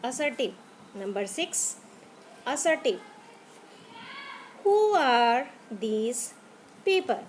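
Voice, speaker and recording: Woman teacher, Indian English accent, unhurried dictation, faint background noise. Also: a little high, big pitch swings. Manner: slow, spells words out letter by letter. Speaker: school teacher. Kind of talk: grammar lesson for young pupils.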